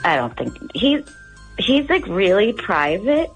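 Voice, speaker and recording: A woman's voice: mid-range voice, very animated, slow pace, faint music behind, low-quality recording. A woman calling in to a radio show, chatting casually in a hesitant, rambling way full of fillers. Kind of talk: casual chat.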